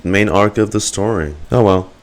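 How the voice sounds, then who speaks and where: A man's voice: fairly low pitch, normal speed. A man giving casual reaction commentary as he streams a video game. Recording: game music behind the voice.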